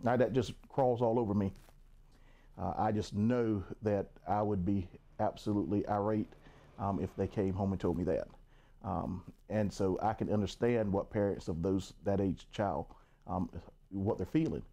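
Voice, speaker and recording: A man's voice: on the low side, normal speed, warm tone. A man answering interview questions as a school district official. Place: small office, indoors.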